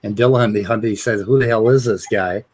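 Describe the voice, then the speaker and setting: A male host with a low voice chats casually. He rambles and often stops and restarts his sentences. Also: darkish tone. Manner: rambling, halting restarts, laughs easily. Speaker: host of a debate review stream. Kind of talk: casual chat.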